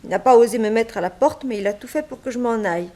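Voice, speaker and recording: Plaintive young maid, higher-pitched, played by an actress. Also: female voice, fast, mellow, close to an everyday voice. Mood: plaintive, resigned. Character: wronged young unwed mother, former maid, resigned. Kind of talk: 1960s French radio drama acting.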